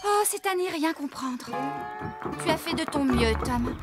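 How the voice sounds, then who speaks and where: A woman voicing a kind, cheerful young farmer in a French-dubbed preschool cartoon, with expressive delivery. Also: sits high, measured pace. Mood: puzzled, sorry. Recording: children's music behind the voice.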